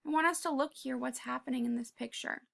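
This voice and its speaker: A woman reading a children's picture book aloud, pausing to talk to young listeners in an encouraging way and sometimes dropping to a whisper. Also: high-pitched, creaky. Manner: drops to a whisper at quiet moments. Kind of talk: read-aloud with commentary for kids.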